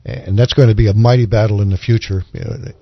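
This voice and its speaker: A man's voice: on the low side, fairly even intonation, brisk, no echo. Guy on a bible podcast panel, explains stuff earnestly, dry kinda dull audio. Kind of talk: conversational Bible discussion.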